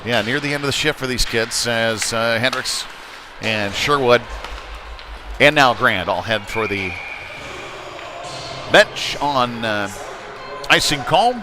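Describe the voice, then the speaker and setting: A man giving live play-by-play commentary of a youth ice hockey game. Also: mid-range voice. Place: indoor ice rink. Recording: noisy rink ambience, bouncing knocks.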